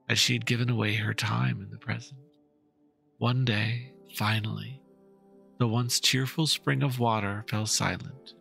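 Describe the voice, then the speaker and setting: A man's voice: lowish voice, vocal fry, a bit bright. A man narrating a fantasy story in a solemn, theatrical dramatic reading.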